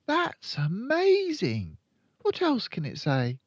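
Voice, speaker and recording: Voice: medium pitch, huge pitch swings, slow pace, no echo, lo-fi sound, voice pushed up, full character voice. A narrator voicing a clever, determined little girl in a storybook. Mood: eager.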